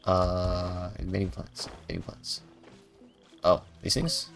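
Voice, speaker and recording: A low-pitched game streamer chats casually while playing. The delivery is playful and muttered, with sing-song noises, and game music sits behind it. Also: steady tone, measured pace, vocal fry. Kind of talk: casual gameplay commentary.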